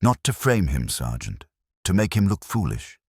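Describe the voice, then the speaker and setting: A shrewd police inspector, played by a male narrator, speaks in a very deep, husky voice. He is measured, quiet and deliberate, a detective from a mystery audiobook. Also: huge pitch swings, dry recording, studio quality, exaggerated voice. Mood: firm.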